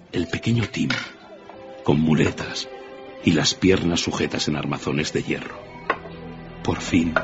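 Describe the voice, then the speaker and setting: Deep-voiced male storyteller over music. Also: highs cut off. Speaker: narrator. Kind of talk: scripted dramatic storytelling narration.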